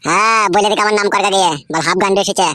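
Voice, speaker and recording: A woman's voice: mid-range voice, steady tone, racing, pushed and bright, dry recording, pitched below the performer's own voice, lightly acted. A woman voicing a menacing, boastful male street thug in a comic cartoon, swaggering and threatening.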